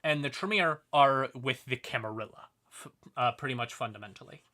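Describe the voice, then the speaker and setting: Male livestream host, conversational and sweary lore explainer, mid-pitched voice with bright, punchy tone. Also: a little fast.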